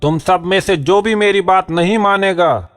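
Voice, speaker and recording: A man's voice: sits high, normal speed, long reverb tail, faint music behind, lightly acted. A man voicing a male donkey who poses as a king and bosses the other animals in a cartoon. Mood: threatening. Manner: bossy, commanding.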